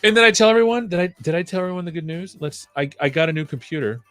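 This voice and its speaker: A man's voice: high-pitched, expressive, very fast, fairly bright tone, dry recording, soft background music. A man hosting a casual livestream, rambling playfully with jokey asides. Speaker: live show host. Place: own room at home.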